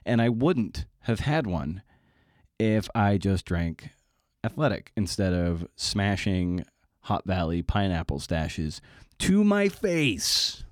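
A man hosts his own podcast in a relaxed, joking way, ribbing himself as he runs through plugs. His voice sits on the low side. The sound is dry, with no room around it. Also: slow, no echo. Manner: chatty, wry and self-deprecating, with asides. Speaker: podcast host. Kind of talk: casual solo podcast intro.

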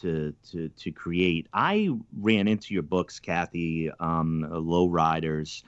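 A man hosting a podcast interview, talking in a halting way with many ums and restarts. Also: sits low, animated, smooth, dry recording, muffled recording. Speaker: podcast host.

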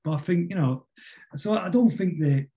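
A middle-aged man with a Manchester accent, chatting casually as an interview guest in a rambling, halting way full of tag questions. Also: sits high, mellow. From Manchester, England. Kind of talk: casual conversational football chat.

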